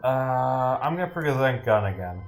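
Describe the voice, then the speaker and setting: A man's voice: mid-range voice, lively intonation, moderate pace, gravelly. A man giving casual, rambling livestream commentary as he playtests a game and reads its text aloud, often interrupting himself with dry jokes. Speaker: streamer, game developer. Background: game music.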